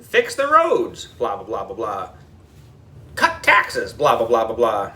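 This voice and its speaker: A man's voice: on the low side, wildly swooping pitch, takes it slowly, bright, forceful tone, far from the mic, voice pushed down, heavily acted. A man voicing a pompous, long-winded mayor in a children's audiobook, declaiming like a politician at a podium. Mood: speechifying. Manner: declamatory, droning political speechmaking. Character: pompous, long-winded male town mayor making speeches.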